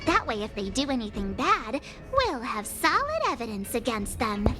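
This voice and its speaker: A woman voicing a perky, impulsive young girl in a video game, brash and expressive, with loud music behind her. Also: on the high side, moderate pace. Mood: determined. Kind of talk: video game character acting.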